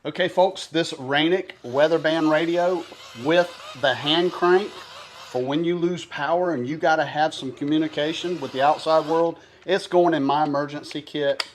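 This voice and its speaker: A man's voice: on the high side, steady tone, moderate pace, a little hiss or hum, rough recording. A man giving a casual, folksy and enthusiastic product review to camera.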